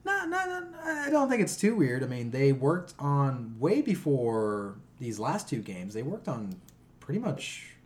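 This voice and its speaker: A man speaking as a podcast co-host with a very animated delivery that rises and falls freely. His pitch sits in the middle range, and his manner is casual, irreverent and loosely profane. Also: a little slow. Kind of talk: casual gaming podcast chat.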